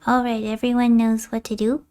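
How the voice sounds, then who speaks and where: A woman's voice: a little high, little pitch movement, fairly quick, mellow, smooth, close to an everyday voice. A woman voicing an encouraging young leader of a crew in a game, supportive and organizing. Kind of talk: amateur game character voicing.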